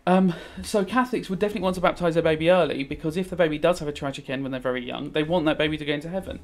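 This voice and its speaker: A man's voice: a little high, very fast. A British man in his thirties, a teacher giving casual revision commentary while gaming, rambling and thinking aloud as he goes. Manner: rambling, thinking aloud, switching between explaining and musing. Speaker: religious studies teacher.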